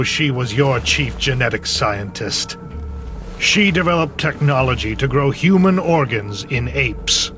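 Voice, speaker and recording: An actor playing a vengeful, gloating villain in a dramatic, theatrical video game cutscene. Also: male voice, mid-range voice, close to an everyday voice. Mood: grave. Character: vengeful, gloating male villain scheming against a tech company.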